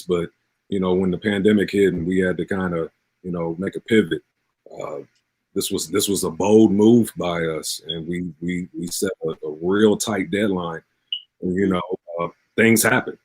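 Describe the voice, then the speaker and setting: A man giving a casual, reflective interview answer, moving haltingly with plenty of "you know". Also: low-pitched, breathy edge, dry recording, poor audio. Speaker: cast or team member being interviewed.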